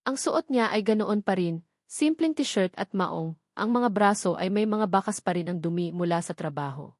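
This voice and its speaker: A woman's voice: mid-range voice, lively intonation, moderate pace, no room sound, studio quality. A woman reading scripted, dramatic narration of a love story.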